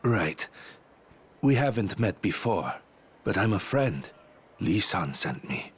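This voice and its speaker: A man's voice: sits low, very animated, takes it slowly, husky, full character voice. An actor playing a polite, earnest male doctor delivering a grave message in a game dub. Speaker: doctor messenger.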